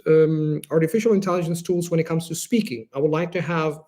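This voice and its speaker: An Italian-accented man hosts a live question-and-answer stream, talking off the cuff. His voice sits a little high for a man and carries a gravelly rasp. He explains things earnestly and often restarts a phrase while he thinks. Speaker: host answering viewer questions.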